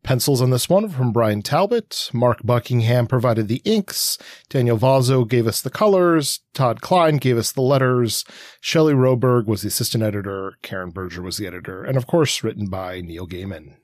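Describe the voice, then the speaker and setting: A man's voice: medium pitch, lively intonation, moderate pace, darkish tone, no echo, clean studio sound. A man co-hosting a podcast discussion, talking as a keen, enthusiastic fan. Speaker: podcast co-host. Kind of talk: podcast discussion with prepared remarks.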